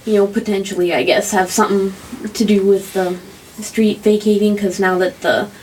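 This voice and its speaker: A woman co-hosting a casual podcast, asking hesitant, halting questions. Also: medium pitch, flat delivery, darkish tone, a little hiss or hum, poor audio. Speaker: podcast co-host.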